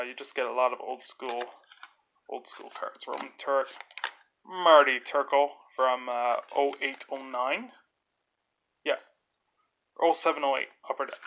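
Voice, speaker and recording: A man's voice: mid-range voice, lo-fi sound, a little hiss or hum. A man with a stuffed-up nose, casually talking through a hockey card pack opening, with lots of uh fillers. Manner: rambling, lots of "uh" fillers, naming cards as he goes.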